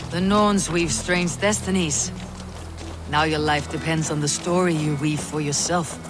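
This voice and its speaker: An actor playing a steady, commanding Norse warrior in a video game, speaking in a grave, measured, resolute way. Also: male voice, a little high, over music, lightly acted. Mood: grave, calm. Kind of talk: video game character acting.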